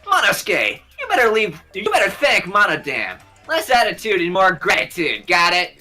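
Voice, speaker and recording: A man's voice: high-pitched, wildly swooping pitch, moderate pace, bright, forceful tone, soft background music, heavily acted. A man voicing a bossy, panicky bear-cub mascot with brash delivery in an amateur anime game dub. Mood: scolding.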